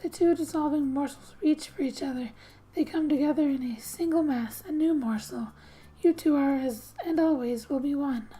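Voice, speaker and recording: Soft, high-pitched hushed woman. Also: normal speed, low-quality recording. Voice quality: soft, hushed, often breaking into whisper. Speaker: gaming ASMR host. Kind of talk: soft-spoken ASMR, reading game text aloud with casual commentary.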